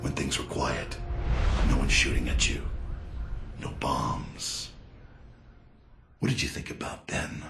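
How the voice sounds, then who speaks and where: An actor playing a cold, manipulative middle-aged corporate villain in a video game, speaking in a measured, condescending way. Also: male voice, very deep voice, flat delivery, gravelly, exaggerated voice. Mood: accusing. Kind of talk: dramatic video game dialogue. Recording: roomy echo, music behind.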